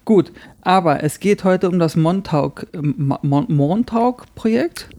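Male podcast co-host, slightly higher voice, soft mellow tone, dry sound, jokey rambling chat. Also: expressive, measured pace. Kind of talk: casual banter podcast chat.

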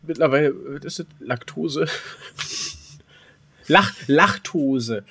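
A man with a fairly high voice talks casually as a podcast co-host. His delivery is comedic banter, teasing and loose. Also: extremely slow, bright, forceful tone, slightly rough.

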